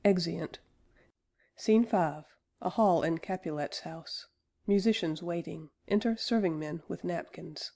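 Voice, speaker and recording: A woman's voice: fairly low pitch, unhurried, creaky, a little mellow. A woman narrator reading stage directions from a script in a neutral, matter-of-fact manner.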